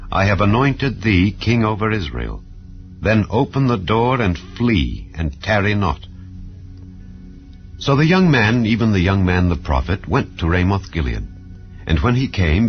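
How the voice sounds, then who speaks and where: A man reading scripture aloud in a slow, solemn, measured way. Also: deep voice, muffled recording. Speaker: Bible reader.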